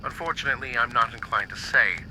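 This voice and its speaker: Brisk senior officer, quick, bright edge, faint engine rumble, played by a man. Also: poor audio. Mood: formal. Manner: brisk, formal briefing tone. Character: stern male military commander, secretive, brisk. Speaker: commander.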